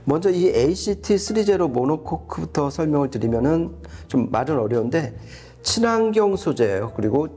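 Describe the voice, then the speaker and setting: A man's voice: on the high side, big pitch swings, moderate pace, warm tone, breathy edge, faint music behind. A man giving an explanatory product review as a co-host, going step by step and rephrasing as he goes.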